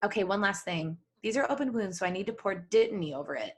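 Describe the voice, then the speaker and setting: A woman's voice: mid-range voice, expressive, fast talker, slightly rough. Earnest, caring young witch, read by a woman. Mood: apologetic. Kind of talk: amateur story reading.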